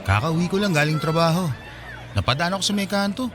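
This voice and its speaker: Man acting easygoing guy, slightly high voice. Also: lively intonation, fast talker, over music, close to an everyday voice. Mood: casual. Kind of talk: radio drama dialogue.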